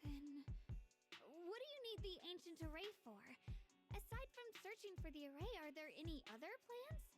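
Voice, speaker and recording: An actress playing an earnest, impulsive young female martial disciple in a story game, with an eager, expressive delivery. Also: very high voice, moderate pace, over music. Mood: worried, questioning. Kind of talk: animated game story dialogue.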